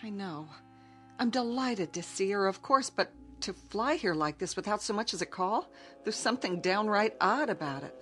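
Casting: woman as anxious small-town mom, mid-pitched, sensible and gently insistent, radio play with faint music. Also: expressive, moderate pace, lightly acted. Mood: worried. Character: worried, protective, sensible small-town mother. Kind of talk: modern radio drama acting.

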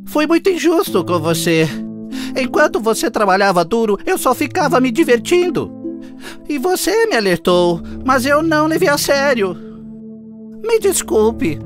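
A cartoon grasshopper musician, carefree and proud yet humbled. The delivery is dramatic and expressive, very high with huge swings in pitch, over a music bed. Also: female voice, moderate pace. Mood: remorseful, grateful. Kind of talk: cartoon fable voice acting. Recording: music behind the voice.

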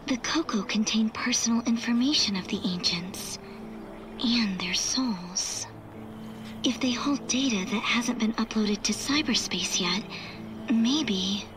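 A woman voicing a composed, analytical artificial girl in a video game cutscene, speaking in a measured, formal way. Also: a little high. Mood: hushed. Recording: loud music behind the voice.

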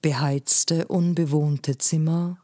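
Woman reading a novel, low voice, really slow, phrase by phrase. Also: steady tone, warm tone, dry recording, studio quality. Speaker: audiobook narrator. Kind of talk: scripted literary narration.

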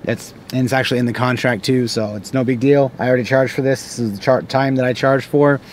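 A man's voice: medium pitch, fairly even intonation, fast talker, slight background noise. A man in his thirties with an American accent, talking casually to camera in a rambling, stop-start way, as a contractor vlogging from a car. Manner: rambling, with frequent false starts. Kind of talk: casual unscripted vlog talk. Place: inside a parked car.

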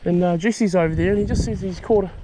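A young New Zealand man talks his way through a fishing trip, voice sitting a touch high, slangy and quick to get excited. He is recorded outdoors on a body-mounted action camera, with faint background noise under his voice. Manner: excitable, slangy running commentary while fishing. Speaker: fishing vlogger. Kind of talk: casual outdoor vlog commentary. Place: outdoors by a high country pond.

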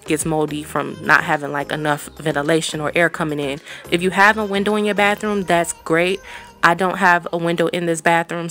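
A woman talks over her own cleaning video in a relaxed, chatty way, filling pauses with ums and you-knows. Her voice sits on the low side. Soft music plays faintly underneath. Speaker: cleaning vlogger.

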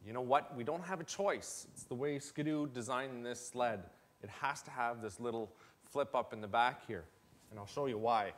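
A man's voice: medium pitch, normal speed. A man in his forties giving a casual, matter-of-fact how-to talk with folksy, plain-spoken phrasing. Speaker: host of a snowmobile build series. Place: indoor garage workshop full of tools. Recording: clearly noisy workshop recording with clicks.

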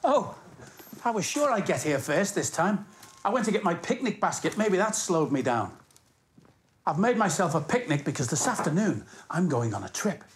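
Middle-aged man, children's story presenter. Animated, lifting delivery, slightly high voice. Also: normal speed, a little hiss or hum. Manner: animated, addressing young viewers directly.

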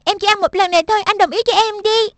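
A woman's voice: extremely high pitch, steady tone, quick pace. A woman voicing an eager, stubborn little boy in a cartoon dub, whiny and exclamatory. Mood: pleading.

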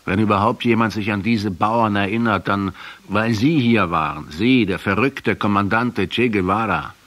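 A thoughtful, probing writer in a radio drama. The voice is very low and slightly rough, and each phrase is placed deliberately and insistently. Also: male voice, fairly bright tone. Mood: critical, measured. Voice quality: husky. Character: probing, measured male essayist from Buenos Aires. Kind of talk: radio play acting.

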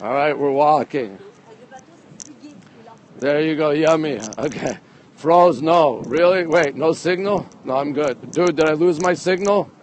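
A middle-aged man hosting a casual walking live stream, chatty and excitable, often repeating himself as he reacts to viewers. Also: on the high side, unhurried. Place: outdoors on busy city streets, walking. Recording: outdoor street and traffic noise.

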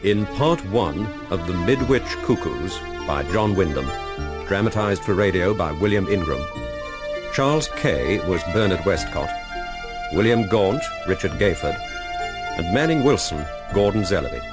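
Radio announcer: man, fairly low, formal measured read over clear music. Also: very animated. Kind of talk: scripted broadcast announcement.

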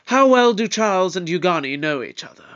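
Probing eccentric investigator, played by an actor, audio drama, animated pitch, deliberate formal questioning, slightly muffled recording. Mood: probing, curious.

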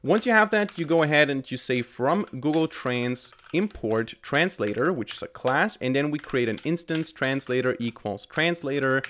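A man's voice: medium pitch, expressive, normal speed, few pauses, fairly bright tone, slight background noise. A man in his twenties, a native German speaker, explaining code as a tutorial host into a close desk microphone. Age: 20s. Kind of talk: explanatory screencast narration. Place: small indoor room at a desk. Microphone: desk microphone close in front. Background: keyboard typing.

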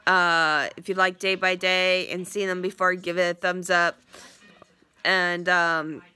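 A woman's voice: on the low side, level tone, a little slow, pushed and bright, clean tone. Stuffy-nosed young woman rambling on a phone. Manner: rambling, many ums and restarts. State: stuffy, congested from a sinus infection. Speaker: vlogger. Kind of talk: casual vlog update. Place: small living room indoors, on a couch. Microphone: phone front camera at arm's length.

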